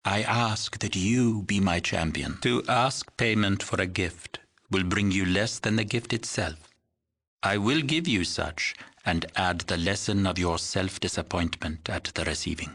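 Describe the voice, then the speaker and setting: A man's voice: deep voice, fairly bright tone, exaggerated voice. An actor playing a frail old village shaman in a video game, mystical and solemn, speaking in ceremonious, cryptic riddles. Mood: reproving. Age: older. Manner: slow, ceremonious, cryptic, archaic phrasing. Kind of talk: scripted video game voice acting.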